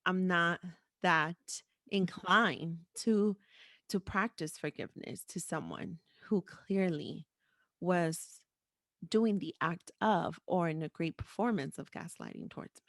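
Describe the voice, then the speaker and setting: A woman's voice: on the low side, animated, slow pace, fairly bright tone, dry recording. Candid woman podcaster, dry close sound. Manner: frank, informal, repeats phrases for emphasis. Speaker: podcast host. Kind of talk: candid, unscripted podcast conversation.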